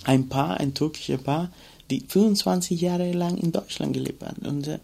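Reflective man, gravelly voice. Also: fairly high pitch, normal speed, darkish tone. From Cuba. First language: Spanish. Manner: reflective, searching for words with many fillers. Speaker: interviewee. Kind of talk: personal storytelling.

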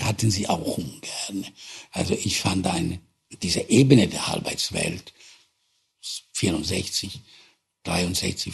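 An older man from Italy with an Italian accent, recalling his past in halting, searching speech with many restarts. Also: low voice, creaky, slightly rough. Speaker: interviewee. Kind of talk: personal recollection.

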